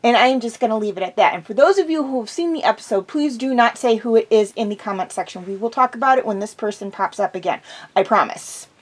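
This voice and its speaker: A woman's voice: a little high, fast talker. A woman host chatting casually and enthusiastically through an episode review, slightly congested from a cold.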